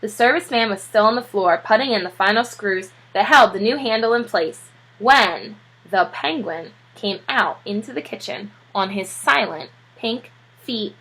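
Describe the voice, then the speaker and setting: Bright-voiced woman reading a children's story. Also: mid-range voice, moderate pace, husky, rough recording. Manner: expressive read-aloud delivery. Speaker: teacher reading aloud. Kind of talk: scripted children's storybook narration.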